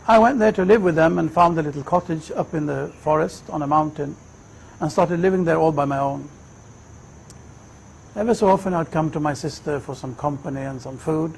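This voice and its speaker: A man in his fifties from Sweden, telling his personal story in a reflective, measured way. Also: on the high side, vocal fry, slight background noise, poor audio. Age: 50s. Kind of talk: personal testimony, unhurried storytelling.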